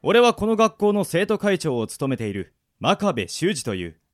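A man's voice: a little high, sing-song, normal speed, no room sound, clean studio sound. A man playing a formal, self-assured student council president, a teenage boy, in a radio drama. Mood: commanding.

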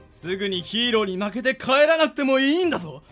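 A man's voice: very high-pitched, expressive, fast, a bit bright, soft background music. A man playing an ambitious, theatrical monster boss in a radio drama, rousing his followers like a speechmaker. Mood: persuasive. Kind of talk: anime-like radio drama acting.

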